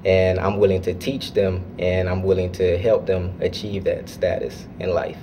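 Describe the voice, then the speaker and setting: A man's voice: sits low, little pitch movement, noisy background. A young man from Florida, a student club officer, answering interview questions earnestly with frequent restarts. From United States (Florida). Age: young adult. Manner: earnest, halting with restarts and fillers.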